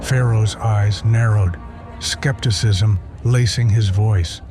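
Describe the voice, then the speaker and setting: A man giving scripted, theatrical first-person narration for an audio drama in a solemn, measured manner. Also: fairly low pitch, fairly even intonation. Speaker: narrator and voice actor. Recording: prominent music behind. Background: music.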